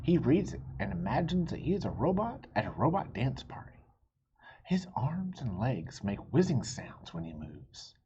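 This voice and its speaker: Very low-voiced woman reading a storybook. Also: normal speed, breathy edge. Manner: expressive, speaking directly to young listeners. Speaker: read-aloud storybook reader and host. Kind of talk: children's picture-book read-aloud with friendly asides. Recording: dull lo-fi sound with faint noise.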